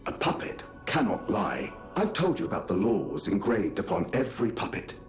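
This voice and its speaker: A man's voice: on the low side, wildly swooping pitch, normal speed. A man playing a polite puppet butler in a video game, formal, courteous and precise in his wording. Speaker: hotel butler. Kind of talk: video game character acting. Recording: roomy echo, faint game music.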